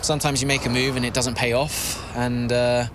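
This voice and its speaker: An actor playing a guarded, self-critical young racing driver, hesitant and measured, with faint vehicle noise behind. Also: male voice, medium pitch, little pitch movement, close to an everyday voice. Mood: guarded, reflective. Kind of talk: naturalistic game acting of a media interview.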